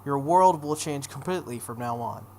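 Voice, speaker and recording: A man's voice: medium pitch, normal speed, a little mellow, natural voice. A man voicing an ominous priest in a visual novel, making solemn, oracular pronouncements over some background noise. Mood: grave warning. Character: ominous male priest delivering grave pronouncements.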